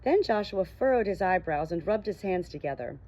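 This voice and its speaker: A woman's voice: fairly low pitch, lively intonation, a little fast, darkish tone. A woman reading a first-person novel aloud as an audiobook narrator. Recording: dull, muffled lo-fi audio.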